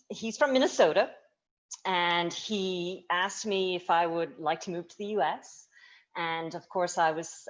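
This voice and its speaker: A woman's voice: on the low side, highs cut off. A woman from the south of Ireland with an Irish accent, telling her story with light humour in an interview. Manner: easy, lightly humorous storytelling. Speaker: guest, travel company co-founder.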